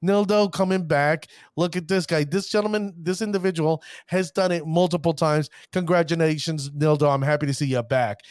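A fairly high-voiced man runs a casual card-game show, pumping up his shout-outs with showman phrases. He stumbles over names and pushes on. The recording is dry and close with no room sound. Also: fairly even intonation, normal speed, few pauses, no echo. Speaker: podcast host. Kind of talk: hype-filled casual show talk.

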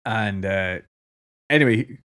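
A man's voice: fairly low pitch, a little slow, a bit bright. A man with an Irish accent casually telling a story to a co-host, laughing often and swearing freely. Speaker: podcast co-host.

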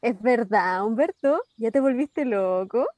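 A woman's voice: a little high, expressive, unhurried, darkish tone, dry recording. A woman with a Chilean accent playing an inquisitive, teasing young woman in a radio drama. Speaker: actor. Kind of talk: radio drama acting, casual dialogue.

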